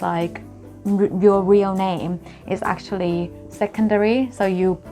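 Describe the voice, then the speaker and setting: A woman's voice: lowish voice, a little mellow. A young woman from Thailand, chatting casually to camera as a vlogger and explaining things with lots of examples.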